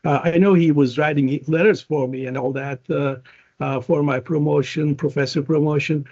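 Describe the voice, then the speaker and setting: A man's voice: fairly high pitch, steady tone, moderate pace, a little mellow, highs cut off. A man in his fifties with a Turkish accent, a professor chatting as an interview guest, rambling with many fillers and restarts. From Turkey. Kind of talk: casual interview conversation.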